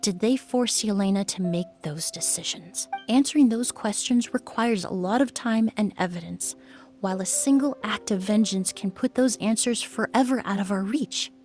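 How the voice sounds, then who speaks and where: A woman voicing a composed adult perfumer and crime-scene cleaner in a game, speaking in a measured, thoughtful way. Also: mid-range voice, darkish tone, faint music behind, close to an everyday voice. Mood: calm, reflective. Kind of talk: game voice acting.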